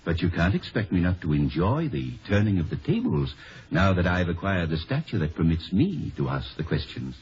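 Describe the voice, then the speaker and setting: An actor playing a self-important, excitable male scholar in a 1950s radio comedy-drama, pompous, emphatic and theatrical. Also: sits low, moderate pace. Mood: smug. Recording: noisy, dull 1950 radio recording.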